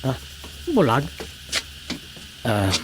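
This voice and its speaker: A man's voice: medium pitch, huge pitch swings, drawn-out words, noisy background, heavily acted. A man acting as an easygoing village councilman in a radio drama comedy, muttering with hesitant interjections. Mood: casual. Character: male village councilman, casual, muttering, easygoing local official.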